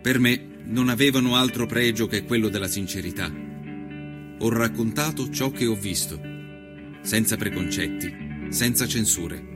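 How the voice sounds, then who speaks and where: A man performing an earnest nineteenth-century Italian journalist who recounts his travels in a measured, solemn, literary radio monologue. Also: fairly low pitch, music in the background, close to an everyday voice. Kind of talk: scripted dramatic narration, radio storytelling.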